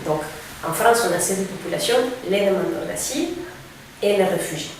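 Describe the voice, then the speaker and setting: A woman in her thirties from Mexico, a refugee aid coordinator, calmly explaining her work in a presentation. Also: medium pitch. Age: 30s. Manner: explanatory, measured, with fillers. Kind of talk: presentation to camera. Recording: very echoey room, distant mic, faint noise.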